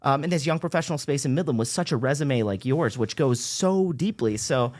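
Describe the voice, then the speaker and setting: A man's voice: medium pitch, animated, a little fast, a little mellow. A man hosting a local talk show, enthusiastic and effusive, joking with his guest. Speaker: show host. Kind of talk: casual interview chat.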